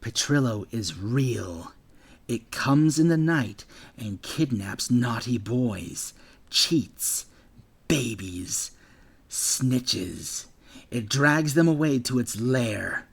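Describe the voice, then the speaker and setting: A man's voice: mid-range voice, lively intonation, drawn-out words, slightly rough, full character voice. A man reading an audiobook, voicing a sneering, menacing bully boy who taunts his victim. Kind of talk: audiobook character voice.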